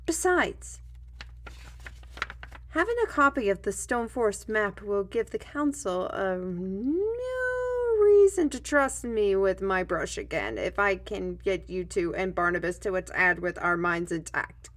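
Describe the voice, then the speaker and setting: A woman's voice: mid-range voice, animated, measured pace, a bit bright. A woman playing a weary, wry artist in a fantasy audio drama, musing and conversational as she tells tales and explains plans. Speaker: voice actor in a fantasy audio roleplay. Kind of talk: in-character storytelling and dialogue in audio drama.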